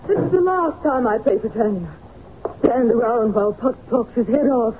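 An actress playing a haughty, theatrical stage star with an affected, grand manner in a 1940s radio drama. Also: female voice, on the high side, normal speed, close to an everyday voice. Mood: disdainful, airy. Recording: scratchy, narrow-band old radio recording.

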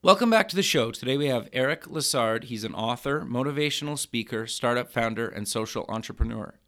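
A man's voice: medium pitch, lively intonation, fairly quick, fairly bright tone. A man hosting a podcast interview, chatting casually and friendly, with many fillers and restarts. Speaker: podcast host.

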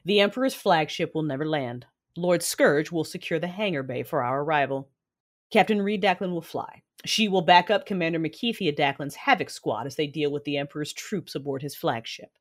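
A woman acting a proud, vengeful Sith commander and mother in an audio drama, narrating and speaking in a formal, measured, commanding way. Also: deep voice, animated. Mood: commanding, resolute. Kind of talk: first-person audio drama narration and dialogue.

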